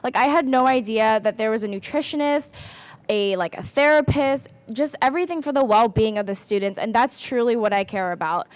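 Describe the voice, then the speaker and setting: A woman's voice: a little high, brisk, dry recording. A young woman, a student candidate, gives a chatty, upbeat campaign speech. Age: young adult.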